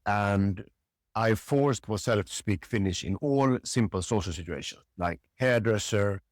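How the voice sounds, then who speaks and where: Middle-aged man, lowish voice, dry close sound, hesitant and chatty. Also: dry recording. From Sweden. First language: Swedish. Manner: halting, restarts often, frequent "yeah". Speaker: podcast guest. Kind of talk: casual interview conversation.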